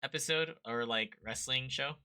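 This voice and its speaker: Male host, sharp crisp tone, casual and inquisitive interviewer. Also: medium pitch, normal speed. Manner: curious, asks follow-up questions. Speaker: podcast host.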